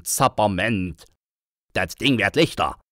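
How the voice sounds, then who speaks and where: A man voicing a gruff, impatient peasant farmer in a storybook, calling out in exclamations. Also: fairly low pitch, lively intonation, slow pace, bright, forceful tone, no room sound, clean studio sound, heavily acted. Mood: startled, gruff.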